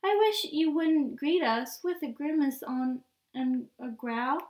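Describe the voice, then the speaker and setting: A woman voicing a gentle male jellyfish in a picture book, mildly scolding his friend. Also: high voice, slow. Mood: chiding.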